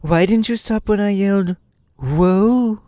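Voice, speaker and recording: A man voicing a bossy, impatient young boy in a storybook reading, talking high and shrill. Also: takes it slowly, dry recording. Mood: exasperated. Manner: shrill, exasperated shouting.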